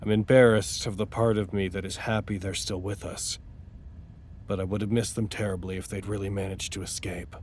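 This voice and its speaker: A man's voice: lowish voice, flat delivery, brisk, a little mellow, a little hiss or hum, lightly acted. An actor playing a wry, anxious male facility officer in an audio drama, with formal, articulate speech. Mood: confessional, wistful.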